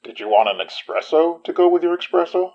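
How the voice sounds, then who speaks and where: An actor playing a caring, skeptical young man who gently teases and probes his friend, in a naturalistic audio drama. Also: on the high side, sing-song, a little fast, gravelly, lo-fi sound. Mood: teasing.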